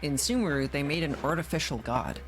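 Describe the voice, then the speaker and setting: A woman's voice: low voice, expressive, brisk. A woman game streamer giving casual commentary as she plays a video game. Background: game music.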